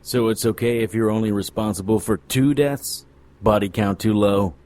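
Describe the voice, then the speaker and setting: Noir audio drama: male actor as jaded occult investigator, low-pitched, wry, hard-boiled and sardonic. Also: steady tone, normal speed, darkish tone, natural voice. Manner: dry, wry, world-weary. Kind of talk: noir audio drama acting with first-person narration.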